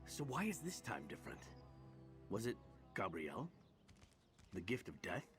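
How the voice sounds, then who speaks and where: An actor playing a wry, roguish heroic knight in a fantasy game, with a conversational delivery. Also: male voice, lowish voice, sing-song, moderate pace, music in the background. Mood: earnest, uneasy. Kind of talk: scripted game dialogue.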